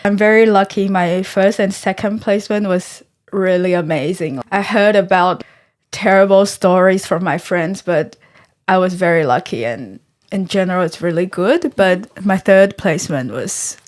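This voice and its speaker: Earnest young woman from Taiwan. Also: on the low side. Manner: thoughtful, earnest, pauses to find words. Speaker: guest researcher. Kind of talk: conversational interview.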